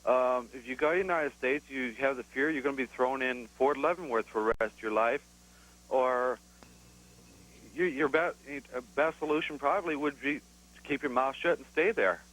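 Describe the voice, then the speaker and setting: A man calling in to a TV show, speaking haltingly with many fillers. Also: medium pitch, fairly bright tone, low-quality recording. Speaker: caller.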